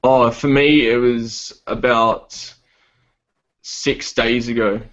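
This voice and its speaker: Young man, podcast guest, hesitant with many ums, flat voice, dull call audio. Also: medium pitch, slow. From Australia. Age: 20s. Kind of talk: casual podcast chat. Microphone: online call.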